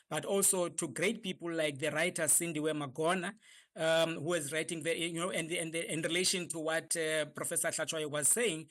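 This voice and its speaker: A man, a professor guest on a radio talk show, speaking in a measured way with frequent hesitations. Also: on the high side, fairly bright tone. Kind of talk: radio discussion.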